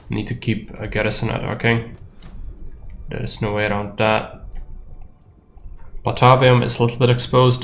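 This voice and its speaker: Low, flat Czech-accented man. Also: a little hiss or hum. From Czech Republic. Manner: musing aloud, blunt and matter-of-fact. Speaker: streamer. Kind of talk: casual gameplay commentary.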